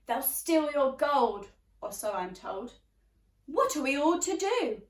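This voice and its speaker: A woman's voice: high-pitched, sing-song, slow pace, recorded from a distance, full character voice. A woman voicing indignant storybook townsfolk, dramatic, scandalised and emphatic as they complain. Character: indignant townsfolk complaining about pirate neighbours. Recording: roomy, echoey, away from the mic.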